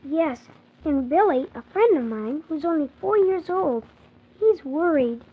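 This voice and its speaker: A woman's voice: high voice, animated, unhurried. A woman playing an earnest, polite young orphan boy who tells his story wide-eyed in a 1940s children's radio drama. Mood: earnest, hopeful. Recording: thin, phone-like 1940s radio recording.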